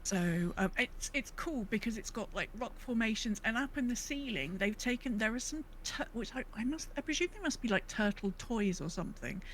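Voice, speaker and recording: A woman's voice: a little high, lively intonation, normal speed, few pauses, rough recording. Chatty woman with background noise. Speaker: podcast host; veterinary clinic worker. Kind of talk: casual chat.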